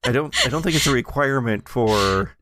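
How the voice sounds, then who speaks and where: A man's voice: medium pitch, lively intonation, a little fast, pushed and bright, no echo. An American man hosting casual banter among friends with dry, joking understatement. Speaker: podcast host.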